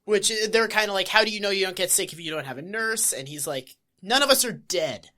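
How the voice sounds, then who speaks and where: A woman's voice: mid-range voice, lively intonation, brisk, pushed and bright. A woman co-hosting a podcast, retelling scenes sarcastically. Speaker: podcast co-host. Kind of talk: casual podcast chat.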